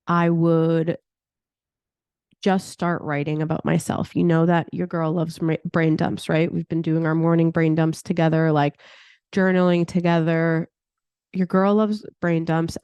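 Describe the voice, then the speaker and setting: A woman's voice: sits low, a little mellow, clean tone, dry recording. A woman in her thirties hosting her own podcast in a chatty, casual way, peppering her talk with "like" and laughing at herself. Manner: chatty, laughs often, fillers like "like". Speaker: podcast host.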